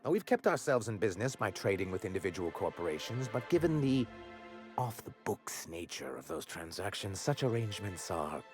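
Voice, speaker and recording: A man's voice: low-pitched, big pitch swings, fairly quick, soft background music. An actor playing a flamboyant, vain male corporate executive who talks in a theatrical, florid way full of innuendo. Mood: grandiloquent.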